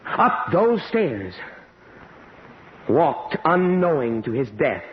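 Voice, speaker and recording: Slow, awestruck radio-drama time traveler. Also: male voice, a little high, big pitch swings, full character voice. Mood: hushed, reverent. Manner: halting, reverent, dramatic pauses. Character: earnest, awestruck history expert obsessed with saving Lincoln. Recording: dull, noisy vintage radio recording.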